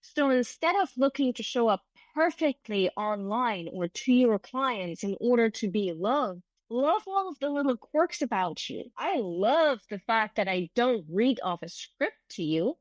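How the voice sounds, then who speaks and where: A woman's voice: a little high, big pitch swings, unhurried, few pauses, dry recording. A middle-aged woman whose first language is German, hosting an unscripted solo podcast in an earnest, reflective way, often restarting her sentences. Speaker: podcast host and business coach.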